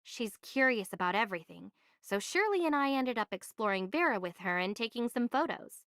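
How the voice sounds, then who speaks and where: A woman's voice: a little high, lively intonation, a little fast, a bit bright, dry recording, clean studio sound. A woman voicing a level-headed, assertive young envoy in an anime game, speaking in an earnest, articulate way. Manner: earnest, articulate, matter-of-fact.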